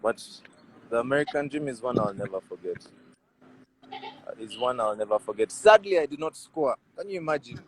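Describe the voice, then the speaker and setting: A man in his twenties from Uganda, speaking with a Ugandan accent. He tells personal stories as an interview guest in a loose, casual way. His delivery is animated, with phrases repeated for emphasis. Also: mid-range voice, moderate pace, slight background noise, low-quality recording. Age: 20s. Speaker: rugby player, interview guest.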